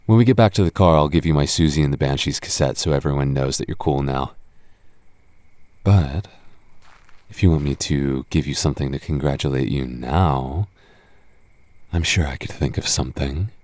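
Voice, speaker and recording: Man, young adult, very low voice, boyfriend roleplay, teasing, stammers when nervous. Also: normal speed, long pauses, a little mellow, no room sound. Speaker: voice actor playing a goth boyfriend.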